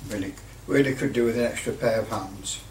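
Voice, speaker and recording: A man's voice: fairly low pitch, a little fast. Man in a roomy, distant recording. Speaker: hobbyist presenter. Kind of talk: casual hands-on workbench commentary. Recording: roomy, distant mic with light noise.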